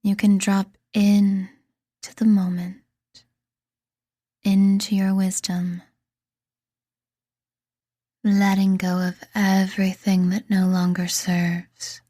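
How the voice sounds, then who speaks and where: A woman reading a scripted guided meditation in a soothing, deliberate manner, leaving pauses between phrases. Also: mid-range voice, level tone, mellow, dry recording, clean studio sound. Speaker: meditation guide and podcast host.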